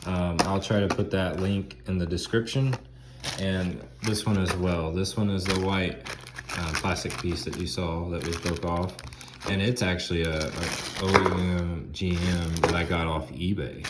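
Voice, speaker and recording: A man in his thirties with a low, stuffy voice explains a truck repair in chatty, folksy, loosely worded talk. He records on a phone held close in his home garage. Loud background noise fills the track. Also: steady tone, normal speed. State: stuffy from a head cold. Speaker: DIY auto repair host. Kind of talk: casual how-to explanation. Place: home garage, by an open door. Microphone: phone camera close by, at arm's length.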